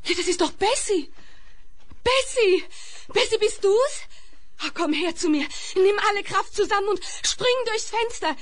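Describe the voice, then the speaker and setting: A woman's voice: very high voice, animated, unhurried. A woman playing an urgent young captive in a 1970s radio play, breathless and coaxing, on a noisy old vinyl record. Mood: excited, pleading.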